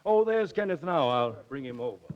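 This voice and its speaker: A man's voice: a little high, big pitch swings, a little fast. A man playing a cool, calculating uncle in a 1940s radio drama, curt and formal. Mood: curt, guarded. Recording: dull vintage radio recording, music behind.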